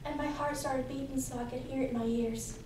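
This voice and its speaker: Guilt-ridden runaway girl, fourteen played by a woman: high, intense, theatrical stage monologue in a very echoey room. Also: fairly even intonation, a little fast, natural voice. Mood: anxious resolve. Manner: intense, theatrical first-person storytelling. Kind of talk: dramatic interpretation monologue. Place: indoor competition room, distant camera. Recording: echoey room from far off, noisy.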